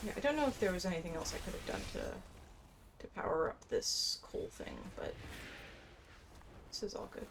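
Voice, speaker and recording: A woman talks through a game in an informal, musing way. Her voice sits a little low, and there is faint noise behind her. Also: expressive, moderate pace, pauses often. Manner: informal, musing, thinking aloud. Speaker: game streamer. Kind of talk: casual gameplay commentary with quest text read aloud.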